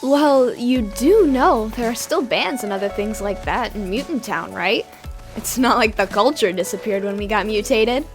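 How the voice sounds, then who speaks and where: Woman doing chatty young mutant lizard gal, idealistic and excitable, higher voice, comic dub. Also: moderate pace, faint music behind. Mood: enthusiastic.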